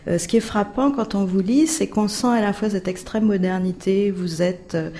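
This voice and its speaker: A woman's voice: medium pitch, normal speed, mellow. A woman interviewer and teacher asking long, winding questions full of hesitations. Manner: hesitant, many "euh" fillers, builds long questions.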